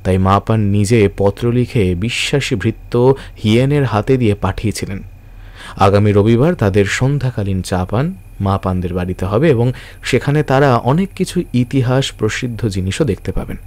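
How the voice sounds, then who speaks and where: A man's voice: fairly low pitch, lively intonation, moderate pace, darkish tone. A man giving scripted, dramatic narration for an audio detective story.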